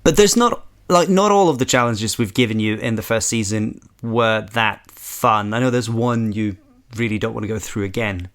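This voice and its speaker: A man's voice: lowish voice, normal speed. A man working as a dialogue editor on a show, chatting casually behind the scenes and explaining the work in an appreciative manner. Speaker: podcast producer and dialogue editor.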